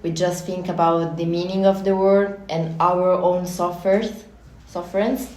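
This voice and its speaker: A young Italian woman with an Italian accent, talking quickly in a casual podcast chat and sometimes searching for English words. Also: lowish voice, steady tone, darkish tone. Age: young adult. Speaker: architecture student guest. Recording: echoey room.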